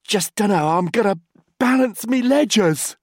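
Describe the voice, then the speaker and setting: High, husky, hapless British underling. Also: male voice, expressive, moderate pace, darkish tone, no room sound, studio quality. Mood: earnest explaining. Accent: British working-class. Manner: hesitant, chatty, colloquial, deferential. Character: hapless, deferential British rocket scientist, humble working chap. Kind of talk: comic storybook character voice.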